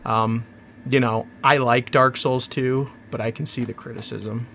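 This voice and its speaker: A man hosting his own channel, chatting casually and rambling through an unboxing, hoarse from a cold. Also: medium pitch, steady tone, a little slow, a bit bright, slight background noise. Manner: rambling, chatty, self-interrupting. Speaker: channel host.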